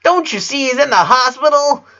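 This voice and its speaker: A man's voice: extremely high pitch, expressive, normal speed, bright, forceful tone, dull audio, pitched above the performer's own voice, full character voice. A man voicing bossy, indignant little twin girls who scold in a story reading.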